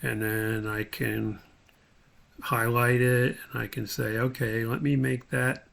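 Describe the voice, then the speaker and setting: A man's voice: medium pitch, level tone, slow, gravelly, a bit bright. A man giving an informal screen tutorial as an instructor, thinking aloud step by step as he demonstrates.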